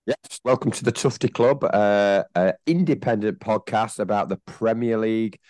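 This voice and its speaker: A hoarse man, co-hosting a casual football podcast with dry, teasing banter. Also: lowish voice, normal speed, a little mellow, no echo. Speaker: podcast co-host. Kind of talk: casual chat.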